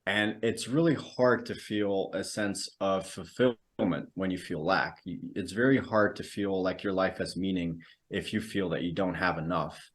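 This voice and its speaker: A man's voice: deep voice, steady tone, normal speed, few pauses. A man from the Netherlands, a native Dutch speaker, giving reflective, explanatory answers as a podcast guest in conversation.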